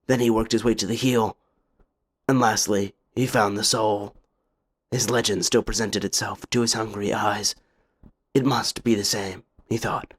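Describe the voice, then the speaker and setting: A man's voice: on the low side, steady tone, normal speed. A man narrating a scripted tale for a puppet show in a theatrical, solemn, literary manner.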